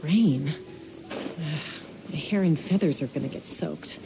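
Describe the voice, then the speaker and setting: Low-pitched, grumbling bird creature. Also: female voice, unhurried, gravelly, background noise. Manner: grumbling, fussy. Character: grumbling feathered creature, fussy about its plumage. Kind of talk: video game character acting.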